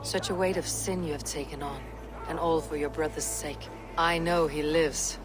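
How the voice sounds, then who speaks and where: A woman's voice: low-pitched, steady tone, moderate pace, music in the background, natural voice. An actress playing a tough, blunt warrior woman who questions people in a direct, firm way for a video game. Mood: insistent. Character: tough, blunt female warrior investigating a death. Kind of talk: video game character acting.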